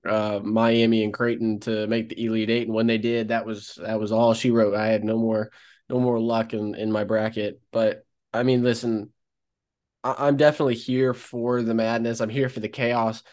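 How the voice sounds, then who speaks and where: A man hosting a college sports podcast, talking in an upbeat, energetic way. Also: lowish voice, normal speed. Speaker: podcast host. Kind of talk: casual sports podcast chat. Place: Columbia.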